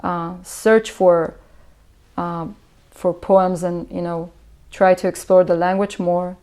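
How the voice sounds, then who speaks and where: A woman's voice: fairly low pitch, darkish tone. A young woman with an Israeli accent, a Hebrew native speaker, giving reflective interview answers as a musician, with many hesitations and restarts.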